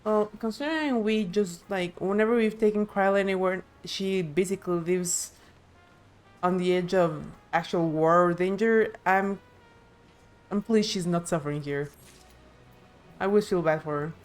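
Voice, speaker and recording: A woman's voice: fairly low pitch, normal speed, faint music behind. A woman streaming a game playthrough, chatting casually as she comments. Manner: reads game dialogue aloud.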